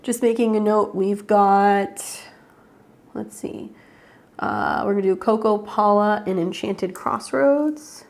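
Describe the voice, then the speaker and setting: A woman's voice: mid-range voice, takes it slowly, darkish tone. A woman with a head cold and a congested voice, chatting casually as an astrologer hosting live readings and greeting viewers by name. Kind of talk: casual livestream chat.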